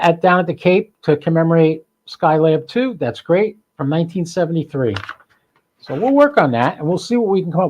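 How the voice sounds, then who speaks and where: A man's voice: high voice, a little mellow. A man hosting a hobby podcast, chatting informally and thinking aloud in a rambling live-stream style. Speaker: amateur radio podcast host.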